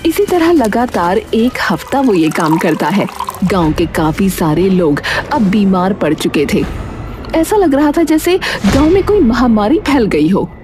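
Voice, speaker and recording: A woman narrating a cartoon story in a plain, steady way. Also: mid-range voice, unhurried, music in the background.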